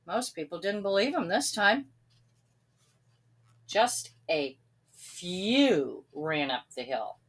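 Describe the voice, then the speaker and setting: Slow, animated woman reading a storybook. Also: on the low side, husky. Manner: expressive, pausing to address young listeners. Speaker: storybook reader. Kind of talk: expressive read-aloud of a children's story.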